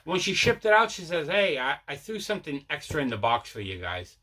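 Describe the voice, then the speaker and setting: Male vlog host, bright forceful voice, relaxed rambling unboxing chat with repeats. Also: on the high side, brisk. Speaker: video host showing mail and movie collection.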